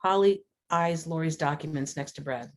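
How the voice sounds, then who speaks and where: Woman w a really low voice reading out screenplay directions, measured n descriptive. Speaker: narrator. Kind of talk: scripted narration of screenplay directions.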